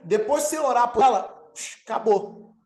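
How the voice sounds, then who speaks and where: A man with a Brazilian accent, an apostle teaching a healing course over a video call, energetic and emphatic as he answers questions. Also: extremely high pitch, moderate pace. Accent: Brazilian Portuguese. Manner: energetic preacher, swells and emphasizes key words. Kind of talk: live online teaching with Q&A.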